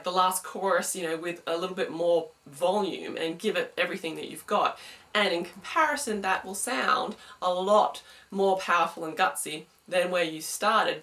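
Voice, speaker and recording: A young woman with a smooth, bright voice talks through singing tips in a relaxed, chatty way. She stands a little back from the microphone, so her voice sounds slightly distant. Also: on the low side. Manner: chatty, explanatory, with sung demos. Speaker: singing teacher. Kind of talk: vocal lesson to camera.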